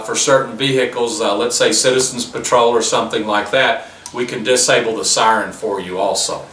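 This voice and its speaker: A man's voice: medium pitch, bright, forceful tone. A man giving a plain, step-by-step product demonstration as the presenter. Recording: roomy, distant mic with faint noise.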